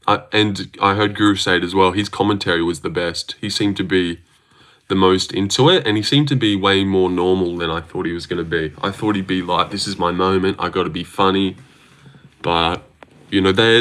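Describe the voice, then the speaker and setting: Aussie guy on a livestream, kinda low voice, rambly and jokey, lots of ums. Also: a bit bright. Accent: Australian. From Australia. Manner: rambling and joking, with frequent fillers and restarts. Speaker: livestream host. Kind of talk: casual livestream chat, reading out viewer comments.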